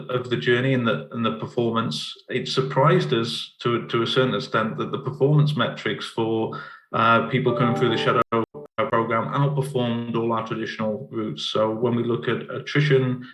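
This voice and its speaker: A middle-aged man with an English accent, a managing director giving a conference talk over a video call, halting and often repeating his words. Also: mid-range voice, fairly even intonation, a bit bright, soft background music. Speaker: managing director of partner company. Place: office in Cape Town. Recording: roomy echo.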